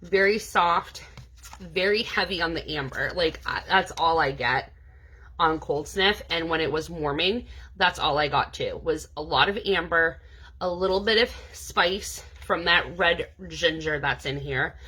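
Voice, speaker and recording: Woman, lower-pitched but bright, congested from allergies; relaxed, rambling reviewer. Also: unhurried, husky. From New England. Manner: chatty, many "um" and "like" fillers. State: stuffed up from allergies. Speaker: scent consultant. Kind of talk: casual product review.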